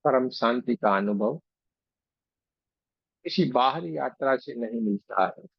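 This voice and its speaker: Male meditation teacher, very slow and gentle, gravelly fry in the voice, muffled recording. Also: medium pitch, animated, no room sound. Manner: gentle, unhurried, devotional, phrase by phrase. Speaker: meditation guide and Reiki teacher. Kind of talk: guided meditation, slow spoken instruction with pauses.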